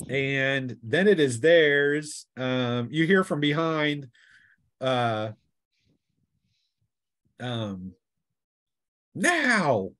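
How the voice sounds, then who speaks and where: A man's voice: mid-range voice, sing-song, drawn-out words, pauses often. Man game master running a tabletop session. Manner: playful, chuckling, acts out sound effects and shouts. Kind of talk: running a tabletop role-playing session, casual narration and dice rulings.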